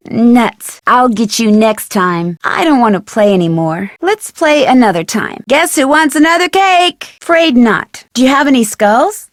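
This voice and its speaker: A woman voicing a curious, plucky young female amateur detective in a video game, thinking aloud in an inquisitive, expressive way. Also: fairly high pitch, no echo, clean studio sound. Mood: playful. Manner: inquisitive, musing to herself, crisply expressive.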